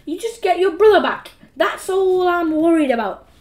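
A woman's voice: very high-pitched, huge pitch swings, a little fast, mellow, roomy sound, pitched above the performer's own voice, exaggerated voice. Woman acting an exasperated, screechy scolding mother. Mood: furious. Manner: screechy, emphatic.

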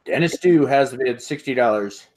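A middle-aged man hosting an online auction, calling bids in a steady repetitive rhythm and laughing often, recorded through an earbud headset on a video call at home. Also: mid-range voice, moderate pace, low-quality recording. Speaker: auctioneer host. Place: home room with sports memorabilia.

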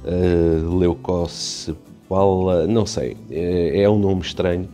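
Man, European Portuguese accent, deep voice, soft mellow tone, rambling casual chat, faint music behind. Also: steady tone, slow. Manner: chatty, rambling, many restarts and fillers. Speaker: aquarium hobby youtuber. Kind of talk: casual walkthrough narration.

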